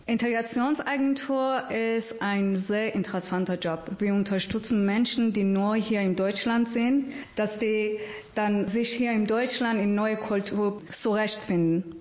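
A woman's voice: mid-range voice, steady tone, a little slow. A woman from Iran who works at an integration agency, answering interview questions in simple, earnest German with small grammar slips. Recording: roomy, dull lo-fi sound.

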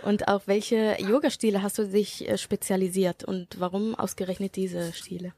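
A woman's voice: mid-range voice, flat delivery, normal speed, darkish tone. A woman hosting a radio interview, asking friendly questions and giving short attentive affirmations.

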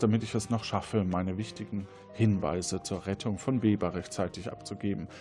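A man's voice: mid-range voice, darkish tone. A man hosting a podcast in an enthusiastic, appreciative way, chatting casually and reading out listener messages. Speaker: podcast host.

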